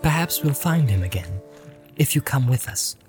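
A man's voice: medium pitch, expressive, normal speed, music in the background, pitched above the performer's own voice, close to an everyday voice. A man reading a firm, weary young hobbit. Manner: firm and insistent. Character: earnest, weary young male hobbit leader. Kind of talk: audiobook character voice.

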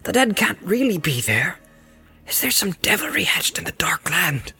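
An anxious male servant from a storybook, performed by a man. He is plain-spoken and loyal, pitched well up high, with music underneath. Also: very animated, normal speed, vocal fry, bright, forceful tone, breathy edge, heavily acted. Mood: uneasy. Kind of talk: dramatic audiobook character reading.

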